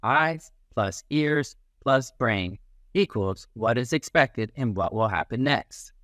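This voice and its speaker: A man reading a children's picture book aloud as a teacher, in an expressive, instructive manner. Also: mid-range voice, slow, no room sound, clean studio sound. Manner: animated, instructive, acts out little sounds and quotes.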